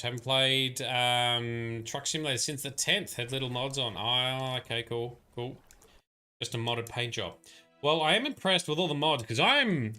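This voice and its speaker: A man streams a game and chats easily with his viewers in a relaxed, supportive way. His voice is bright and forward at a medium pitch. Game music plays faintly underneath. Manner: relaxed, chatty and supportive, talking to chat while playing. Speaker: livestreamer. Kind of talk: casual gameplay commentary.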